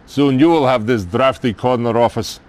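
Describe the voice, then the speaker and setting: An older male actor playing a weary, sardonic Soviet general in a game cutscene, with a Russian accent and theatrical, deliberate phrasing. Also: medium pitch, darkish tone, clean tone, lightly acted. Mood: wry, measured. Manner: theatrical, deliberate, pausing between short phrases. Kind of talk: dramatic game cutscene acting.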